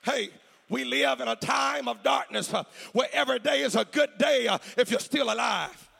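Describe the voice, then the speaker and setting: A man preaching a fervent revival sermon in a hoarse, gravelly shouting voice, chanting in rhythmic phrases punctuated by gasped "uh"s and urging listeners to answer. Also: extremely high pitch, moderate pace. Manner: impassioned call-and-response exhortation.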